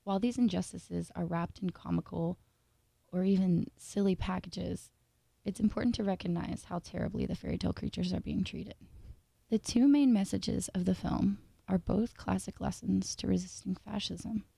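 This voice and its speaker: A woman reading a scripted analytical video essay in a measured, formal way. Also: medium pitch, fairly even intonation, creaky, mellow, breathy edge, dry recording.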